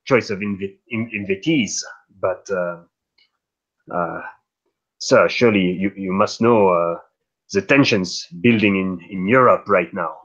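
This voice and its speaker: A man playing a suspicious, stiffly polite gentleman guest in a mystery roleplay, halting and deliberate, on a dull microphone. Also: low-pitched. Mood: wary, uneasy. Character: wary, formal gentleman guest, suspicious and measured. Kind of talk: improvised roleplay dialogue.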